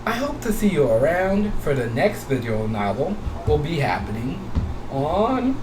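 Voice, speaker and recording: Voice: mid-range voice, big pitch swings, a little slow. A gaming video host giving casual commentary and a sign-off, gushing and repeating phrases over and over. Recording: noisy, echoey room, distant mic.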